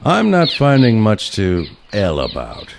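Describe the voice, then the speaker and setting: Casting: male actor for seasoned, honorable older assassin, audio drama, sardonic deadpan with expressive pitch swings, slight background noise. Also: unhurried, vocal fry, full character voice. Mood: disapproving. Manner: dry, deadpan, terse. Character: principled, weary older male veteran assassin. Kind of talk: audio play dialogue.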